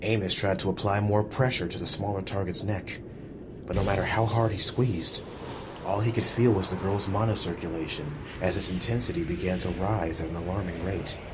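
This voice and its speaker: A man's voice: low voice, steady tone, brisk, background noise. A man reading scripted, dramatic action-fantasy narration as an audiobook narrator.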